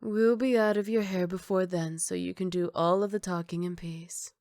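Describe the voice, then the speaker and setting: A woman playing a flirty, cocky female villain who teases in an unhurried, smooth-talking way, in an ASMR roleplay. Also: fairly low pitch, fairly even intonation, darkish tone, no room sound, clean studio sound, natural voice. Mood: cool, confident.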